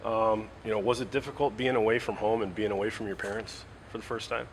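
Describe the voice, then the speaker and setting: A man's voice: lowish voice, fairly even intonation, quick pace, a little hiss or hum, rough recording. A man interviewing a student athlete, asking long, winding questions with fillers.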